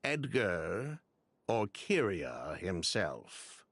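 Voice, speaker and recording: A man's voice: low-pitched, wildly swooping pitch, very slow, a bit bright, husky, dry recording, exaggerated voice. A man playing a glib, evasive middle-aged ex-convict in a video game, explaining himself theatrically. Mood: dismissive. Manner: theatrical, explaining, self-justifying.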